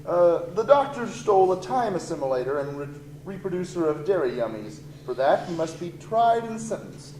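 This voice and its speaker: A man's voice: high-pitched, very animated, normal speed, darkish tone. A man playing a stern, pompous prosecuting lord in an old-time radio comedy. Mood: officious. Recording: echoey room, faint noise.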